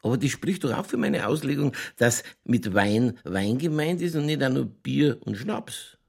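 A man playing a boozy, world-weary middle-aged police chief in a radio play, with a Lower Bavarian accent and a rambling, tipsy, cynically jovial delivery. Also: lively intonation, moderate pace, creaky, darkish tone, husky. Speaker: actor in a crime radio play. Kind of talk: naturalistic German radio drama dialogue.

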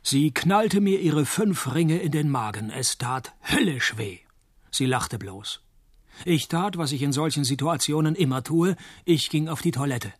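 Private detective, male, higher-set voice, dry studio sound, deadpan, wry, hard-boiled radio play. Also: measured pace, breathy edge, no echo. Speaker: narrator and lead of a radio play. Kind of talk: deadpan noir radio play narration and dialogue.

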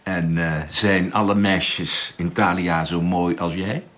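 A man's voice: sits low, fairly even intonation, slow pace, a bit bright. A man acting in a radio drama as a curious, courteous space traveller from Earth who asks many questions.

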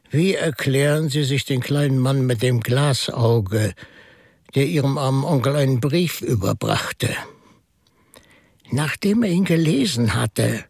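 Radio drama shrewd, mild priest-sleuth: husky, creaky, slow-thinking and measured, close dry sound. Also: male voice, mid-range voice, darkish tone, dry recording, exaggerated voice. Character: Father Brown, mild, shrewd, reflective priest-detective. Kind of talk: German radio drama acting.

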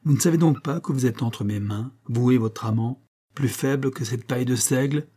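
A man's voice: medium pitch, moderate pace, dark tone, slightly rough, close to an everyday voice. A man voicing a cruel, mocking husband in a dramatic audiobook, sneering and coldly domineering. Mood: menacing. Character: cruel, mocking estranged husband, menacing and smug.

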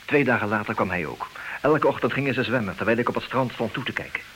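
An actor playing a talkative betrayed husband in a Dutch comic radio play, theatrical and wry, wavering between agitation and resignation. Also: male voice, medium pitch, fast talker, fairly bright tone, natural voice. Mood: agitated. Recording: hissy old radio play recording.

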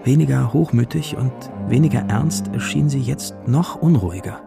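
An actor playing a lovestruck young male student who tells his story in hushed, hesitant literary narration for a radio play. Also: mid-range voice, normal speed, vocal fry, lightly acted. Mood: hesitant, yearning. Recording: radio drama production with music behind.

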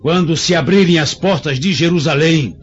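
An actor with a Brazilian accent playing a vengeful, fanatical old rebel leader in a declamatory, menacing radio drama style. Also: male voice, fairly high pitch, moderate pace, natural voice. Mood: bitter, vengeful. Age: older. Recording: dull lo-fi radio drama with music.

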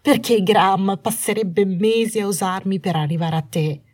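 A woman's voice: medium pitch, normal speed, a little mellow, pitched above the performer's own voice, close to an everyday voice. A woman narrator voicing a bitter young wife in an audiobook story, menacing and manic with jealousy, snarling and trembling with cold fury. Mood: accusing, furious. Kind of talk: dramatised audiobook dialogue.

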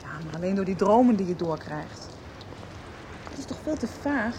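A woman playing a troubled, reluctant teenage daughter in a radio soap, speaking haltingly. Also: mid-range voice, expressive, natural voice. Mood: puzzled, insistent. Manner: hesitant, halting. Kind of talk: 1990s radio soap acting. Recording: radio drama with background noise.

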